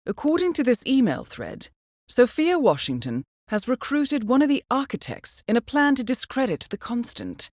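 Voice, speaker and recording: Dry, poised spy handler, lively intonation. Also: female voice, a little high, normal speed, no room sound. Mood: wry, intrigued. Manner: composed, clipped, wryly understated. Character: poised, dry-witted female intelligence handler guiding an assassin. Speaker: mission handler. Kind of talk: scripted game briefing dialogue.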